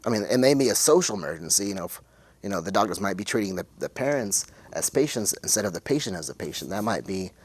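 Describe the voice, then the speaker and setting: A man in his forties from West Texas, talking casually and haltingly as a guest, searching for words as he shares a personal account. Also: lowish voice, expressive, breathy edge. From West Texas, United States. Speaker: interview guest, intersex speaker.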